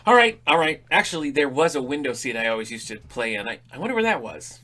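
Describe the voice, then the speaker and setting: A man's voice: mid-range voice, sing-song, fast talker, bright, forceful tone. An actor playing a wry, sarcastic, slightly uneasy middle-aged father in an audio drama comedy. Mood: dry, uneasy. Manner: dry, sarcastic. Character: wry, grumbling, uneasy father exploring an inherited house.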